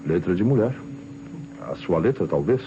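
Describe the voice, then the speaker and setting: An actor playing a stern, suspicious Brazilian police inspector who questions insistently in an old radio detective drama. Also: male voice, low voice, animated, normal speed. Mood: stern, accusing. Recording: muffled old radio recording, loud noise.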